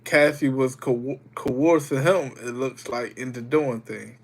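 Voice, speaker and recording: A man's voice: mid-range voice, measured pace, slight background noise. A young man streaming live while getting over a cold, chatting loosely with viewers and greeting them by name. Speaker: livestreamer. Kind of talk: casual livestream chat with viewers.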